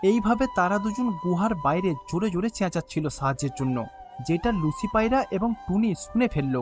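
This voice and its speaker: High-pitched male children's story narrator. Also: expressive, fairly quick, over music.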